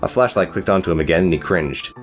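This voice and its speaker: A man's voice: sits low, quick pace, faint music behind. A man narrating a scripted, dramatic story as an audiobook reader.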